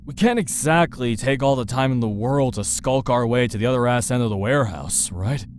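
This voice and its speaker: A man voicing a gruff, blunt older partner in a visual novel. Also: medium pitch, a little fast, slight background noise, close to an everyday voice. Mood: blunt, uneasy.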